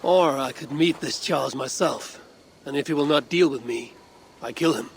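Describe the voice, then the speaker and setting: A man playing a blunt, commanding Viking clan leader in a dramatic video game, with terse, measured delivery. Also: mid-range voice, slight background noise, close to an everyday voice. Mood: resolute.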